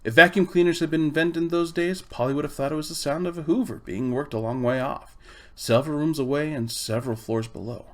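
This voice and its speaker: A man's voice: a little high, fairly even intonation, fairly quick. Man narrating a storybook expressively. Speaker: audiobook reader.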